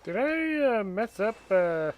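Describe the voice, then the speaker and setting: A man's voice: high-pitched, big pitch swings, slow. A man giving casual commentary while playing a video game, in hesitant, wry asides. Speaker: gaming streamer.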